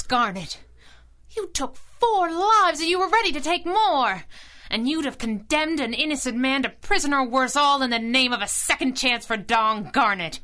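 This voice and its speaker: A woman's voice: mid-range voice, sharp tone. A woman playing a plucky, fiery young crimefighting sidekick in a 1930s-style radio drama, earnest and emphatic.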